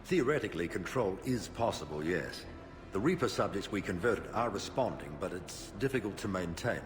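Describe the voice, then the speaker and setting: A man's voice: sits low, lively intonation, normal speed. A man playing an older research director, proud yet careful and explanatory, in dramatic game dialogue. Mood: cautious. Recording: game music behind the voice.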